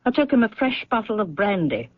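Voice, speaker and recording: An actress playing an elderly, blunt, gossipy housekeeper in a 1970s radio drama, with a dry, knowing manner. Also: female voice, mid-range voice, big pitch swings, brisk, no echo. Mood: knowing, disapproving. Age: older. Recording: muffled old radio recording.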